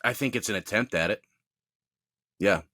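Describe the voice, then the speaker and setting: A man's voice: fairly low pitch, moderate pace, bright, forceful tone. A man co-hosting a podcast, opinionated and self-mocking in casual chat. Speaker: podcast co-host.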